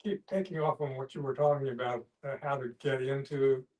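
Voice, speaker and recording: A man with a mid-pitched voice contributes to a casual group discussion. His phrasing is halting and tentative. He is picked up from a distance. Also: steady tone, a little mellow.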